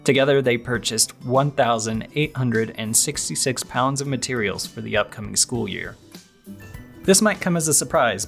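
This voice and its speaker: A man's voice: medium pitch, a bit bright. A man hosting a podcast, delivering scripted narration. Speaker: podcast host.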